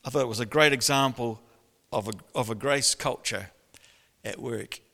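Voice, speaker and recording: Middle-aged male pastor, gravelly and animated, preaching in a hall with a long echo. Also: medium pitch, normal speed. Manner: animated storytelling with bursts of laughter. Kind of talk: sermon. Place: church hall. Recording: long church reverb.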